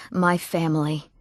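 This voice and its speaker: A woman playing an earnest, emotional young townswoman in a fantasy video game, speaking clearly and expressively. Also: sits low, dry recording, studio quality. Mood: bitter. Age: young adult. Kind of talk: scripted fantasy game dialogue.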